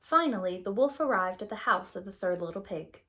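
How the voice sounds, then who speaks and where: A woman's voice: mid-range voice, lively intonation, a little fast, background noise. A woman narrating a scripted children's story as a preschool teacher would.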